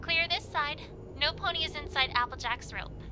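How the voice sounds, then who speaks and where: Woman voicing an eager, high-pitched young pony co-pilot. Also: moderate pace, background noise, natural voice. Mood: eager, chatty. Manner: precise checklist reading. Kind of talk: audio drama dialogue.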